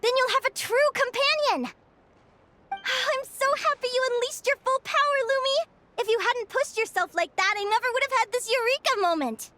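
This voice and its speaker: A woman playing a cheerful, stubbornly optimistic young adventurer in a video game, bubbly, excitable and earnest. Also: very high-pitched, moderate pace, slight background noise. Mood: excited, delighted. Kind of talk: animated video game voice acting.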